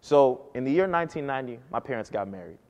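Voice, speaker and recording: A man's voice: mid-range voice, lively intonation, fast talker, darkish tone, in a large hall. A man in his early twenties giving a personal storytelling speech to an audience, earnest and emphatic, rising and falling for effect. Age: early 20s. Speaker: speaker giving a talk to an audience.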